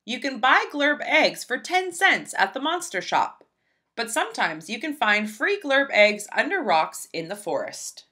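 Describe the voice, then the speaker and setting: A woman reading a children's picture book aloud in an expressive, emphatic storybook style. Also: a little high, a little slow. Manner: animated, emphatic, storybook delivery.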